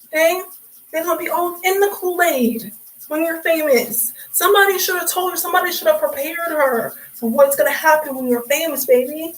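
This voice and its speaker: A young woman hosting a casual livestream chat, talking in a sassy, chatty way, her voice hoarse and raspy from hours of talking. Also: high-pitched, low-quality recording. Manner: sassy, chatty, calls viewers sweetie and honey. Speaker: livestream host.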